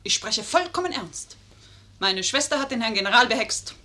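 A woman's voice: a little high, expressive, normal speed, sharp tone. An actress in a 1950s radio play as a witty, teasing, self-assured young lady posing as an officer. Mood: teasing, mock serious.

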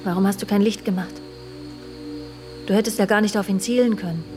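A woman's voice: medium pitch, steady tone, fairly quick, natural voice. An actress in a German radio drama playing a worldly wife who confides in her husband about their restless, jealous marriage. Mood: tense, defiant. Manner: literary, confiding, addressing her husband directly. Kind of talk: German radio drama, intimate narration and dialogue. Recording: music behind the voice. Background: music.

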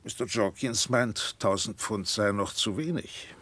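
Stiff, evasive gentleman lawyer, low husky voice, ceremonious and pompous, vintage radio drama. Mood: self-righteous, unyielding. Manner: formal, pompous, hesitant, drawn-out phrasing. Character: stiff, courteous, evasive lawyer in Victorian London. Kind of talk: 1960s German radio drama acting.

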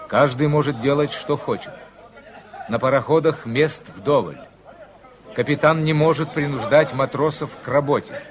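A formal male narrator an actor reads a ship's log in a radio drama over a noticeable outdoor crowd. His pitch is middling and his delivery scripted and declarative. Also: natural voice. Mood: declamatory. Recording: noisy crowd behind the voice.

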